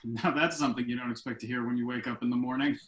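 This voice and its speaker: An actor playing a dry, wry and somewhat discouraged adult son and husband in a table read. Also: male voice, medium pitch, steady tone, racing, lightly acted. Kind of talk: stage comedy-drama table read. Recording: echoey room on online call.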